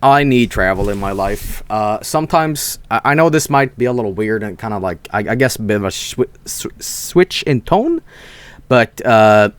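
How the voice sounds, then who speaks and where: A young man chats through a loose, rambling story, swearing freely and tripping over his own restarts. His pitch leaps up and down as he talks, and the sound is close-miked and dry with no room around it. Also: lively intonation, moderate pace, clear, resonant tone, no echo. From Sweden. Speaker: streamer. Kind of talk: casual storytelling stream.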